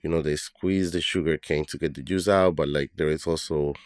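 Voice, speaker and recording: Very deep-voiced man from Colombia. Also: steady tone, fairly quick, smooth, no room sound, studio quality. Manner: loose, thinking aloud with many fillers. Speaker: guest, bartender and brand ambassador. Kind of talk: casual interview talk.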